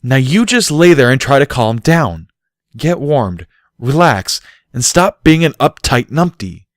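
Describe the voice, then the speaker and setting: A bossy, blunt mare from a storybook, acted out by a male reader, pitched well up. She scolds emphatically with a sharp tongue, in a dry recording. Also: sing-song, measured pace, dry recording. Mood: firm.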